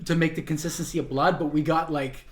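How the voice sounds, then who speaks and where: A man's voice: on the high side, steady tone, fast, a little hiss or hum. A young man co-hosting a podcast in casual, conversational chat.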